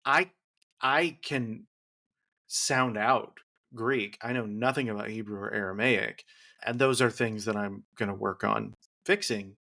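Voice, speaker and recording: A male podcast host with a gravelly, fry-heavy voice that still sounds bright and forceful. He talks casually, wanders through long thoughts and keeps slipping in teasing jokes. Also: lowish voice. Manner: rambling, teasing, quick to joke.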